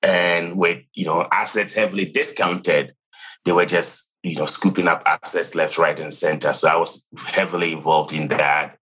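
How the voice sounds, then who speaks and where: Ghanaian man, late 30s, deep and bright; thoughtful finance guest, restarts often. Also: fairly even intonation, normal speed, breathy edge, low-quality recording. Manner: thoughtful, with frequent restarts and "you know". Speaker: CFO guest. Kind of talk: interview, telling his career story.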